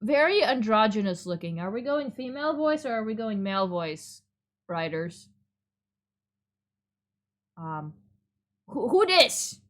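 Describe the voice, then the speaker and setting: A woman's voice: a little high, big pitch swings, a little slow, pauses often. A playful, theatrical woman streaming a visual novel reading with chatty, exclamatory commentary. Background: music.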